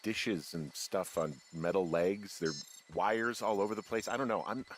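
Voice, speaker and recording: A man acting a low-voiced, uneasy American lookout. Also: normal speed, noisy background, natural voice. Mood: wary, puzzled. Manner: casual, profane, conversational. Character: wry, uneasy man working as a fire lookout. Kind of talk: naturalistic video game dialogue.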